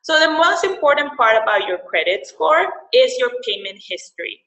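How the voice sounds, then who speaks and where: A woman's voice: high voice, very animated, normal speed, sharp tone, reverberant, rough recording. A young adult woman from El Salvador who is a financial counselor, presenting a webinar in a conversational way with frequent fillers and repeated words.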